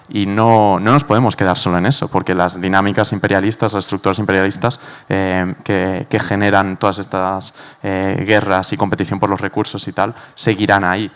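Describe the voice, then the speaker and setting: A man's voice: lowish voice, clear, resonant tone. A man giving a talk on a panel in an earnest, hesitant way, with many fillers. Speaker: panel speaker.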